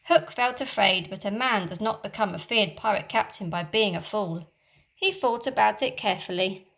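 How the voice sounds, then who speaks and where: A teacher reading a children's storybook aloud in a lively, expressive way. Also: female voice, mid-range voice, normal speed, gravelly.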